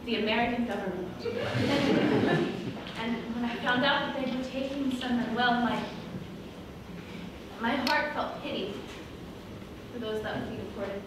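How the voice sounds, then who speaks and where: A young woman on stage playing a self-justifying female villain in a comic musical, speaking deliberately and dramatically. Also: fairly high pitch, lightly acted. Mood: wounded, confessional. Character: self-justifying female villain who disowned her Mexican heritage. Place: small indoor theatre stage, far camera. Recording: echoey stage from far off, audience noise.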